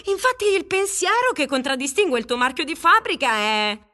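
A woman's voice: sits high, sing-song, a little fast, pushed and bright, heavily acted. A woman playing a relentlessly upbeat livestream blogger in a comic radio drama, gushing and exclaiming. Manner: gushing, exclamatory, hashtag-spouting.

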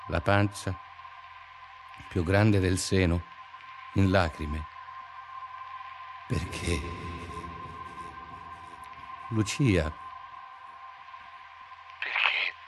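An actor playing a haunted professor in a radio drama, recounting in an intense, grave, slow monologue how he chased a girl. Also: low-pitched, a bit bright, soft background music, heavily acted. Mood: anguished.

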